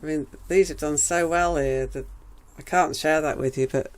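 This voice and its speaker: A woman vlogging casually from her garden greenhouse, her voice croaky after a cold, chatting in a rambling way with frequent ums. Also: low-pitched, fairly even intonation, normal speed. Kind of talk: casual gardening vlog chat.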